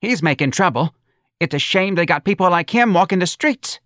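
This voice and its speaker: A man's voice: sits high, lively intonation, normal speed, a bit bright, dry recording, clean studio sound, pitched above the performer's own voice. A man voicing a protective, indignant older working-class mother who scolds and pleads in colloquial speech. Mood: righteous, pleading.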